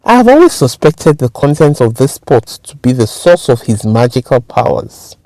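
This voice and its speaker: A woman's voice: extremely low pitch, very animated, moderate pace, warm tone, no echo, exaggerated voice, low-quality recording. A woman voicing a solemn, remorseful man who was turned into a monkey, in a spoken folktale. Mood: urgent. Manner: solemn, earnest pleading.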